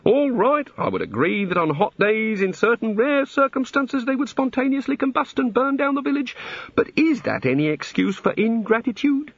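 A man performs a fussy old god in a comic storybook, eager and apologetic yet easily vexed. The voice sits high and swoops about as it dithers through flustered rambles, on a slightly muffled recording. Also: very animated, moderate pace, no room sound, full character voice. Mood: wretched, rambling. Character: fretful, apologetic, testy bearded old god, eagerly curious.

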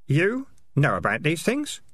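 A man voicing a cool, sharp boy who interrogates people in a storybook. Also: fairly high pitch, wildly swooping pitch, unhurried, slightly rough, dry recording, voice pushed up, heavily acted. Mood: sharp, curious.

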